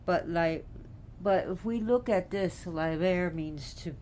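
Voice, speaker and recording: Slow-talking woman, halting, noisy recording. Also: fairly low pitch, a little mellow. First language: not Gaelic. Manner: halting, searching for words. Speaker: presenter explaining names of a Celtic deity. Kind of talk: informal unscripted lecture. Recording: clear background noise.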